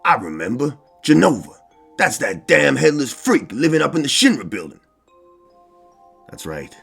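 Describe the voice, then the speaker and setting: An actor playing a brash, hot-tempered big rebel in a game parody, excitable and blunt. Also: male voice, mid-range voice, normal speed, vocal fry, slightly rough, soft background music, heavily acted. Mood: agitated.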